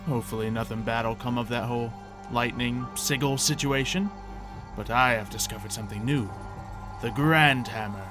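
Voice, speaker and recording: A man with a mid-range voice improvises casually through a video game roleplay. His delivery is offhand and playful. Game music plays clearly behind him. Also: medium pitch, normal speed. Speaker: gaming video creator. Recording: music under the voice.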